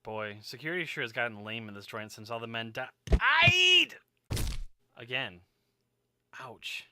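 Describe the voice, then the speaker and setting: A man's voice: mid-range voice, big pitch swings, unhurried, sharp tone, slight background noise, exaggerated voice. A man acting in an audio drama as a wisecracking, stubborn young survivor who talks casually and sarcastically. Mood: sarcastic.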